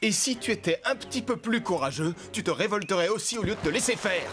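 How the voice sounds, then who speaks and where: A man's voice: high voice, lively intonation, fast talker, a bit bright, faint music behind. A man voicing a rebellious, resentful horse in a children's cartoon, complaining and goading. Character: grumbling, rebellious male horse, resentful of orders. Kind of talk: French cartoon dub acting.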